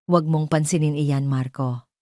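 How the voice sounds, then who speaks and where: A woman's voice: deep voice, steady tone, normal speed, mellow, dry recording, clean studio sound, close to an everyday voice. A woman voicing a haughty, irritated older mother in an audio drama. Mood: irritated, dismissive.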